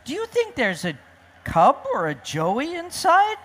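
A woman's voice: sits high, wildly swooping pitch, unhurried, pitched above the performer's own voice, exaggerated voice. A woman voicing a gloomy, grouchy storybook panda, grumbling and whining with longing. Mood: impatient longing. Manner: grumbling, whiny.

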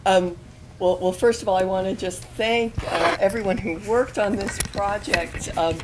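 A woman's voice: mid-range voice, measured pace, background noise. A woman who is losing her voice, speaking earnestly as a panelist with fillers and restarts. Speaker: panelist, former student newspaper editor. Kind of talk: panel talk.

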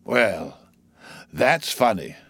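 A man's voice: fairly low pitch, sing-song, drawn-out words, a bit bright, husky, dry recording, heavily acted. A man voicing a jocular old sailor who mutters exclamatory asides to himself in a theatrical storybook reading. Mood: curious.

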